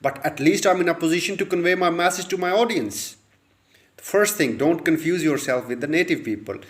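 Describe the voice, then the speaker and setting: A man's voice: a little high, fairly quick. A man from Pakistan with a Pakistani accent, a native Urdu speaker, giving earnest English-learning advice with frequent "uh" fillers and repeated points. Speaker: English tutor. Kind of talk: advice talk to camera.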